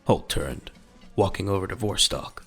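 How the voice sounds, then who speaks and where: Gravelly deep guy narrating an audio drama, measured and dramatic, bright edge, faint music under it.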